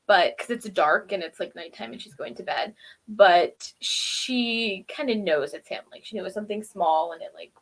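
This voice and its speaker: A woman co-hosting a review show, casually recapping and commenting in an informal, animated way. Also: medium pitch, low-quality recording. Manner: informal, animated retelling with acted-out quotes. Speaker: co-host of a TV episode review show.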